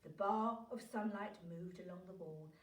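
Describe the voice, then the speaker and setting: A woman reading a children's story aloud in an expressive, animated narrating style. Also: lowish voice, normal speed. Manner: animated, acts out dialogue. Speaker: storybook reader for a class. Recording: roomy echo, distant from microphone.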